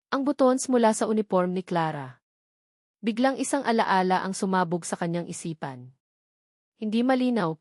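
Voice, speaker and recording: A woman's voice: mid-range voice, a little mellow, no room sound, clean studio sound. A woman with a Filipino accent reads a scripted romance drama as a storyteller, in a dramatic narrating style.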